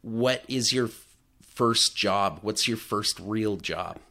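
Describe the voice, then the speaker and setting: A man's voice: lowish voice, takes it slowly, sharp tone. A man hosting an interview, putting conversational questions to his guest. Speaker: interviewer, host.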